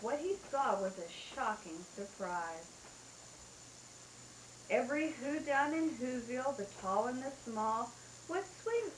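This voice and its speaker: A woman's voice: fairly high pitch, echoey room, slight background noise, rough recording. A mother reading a rhyming bedtime story aloud in a sing-song way, taped at home.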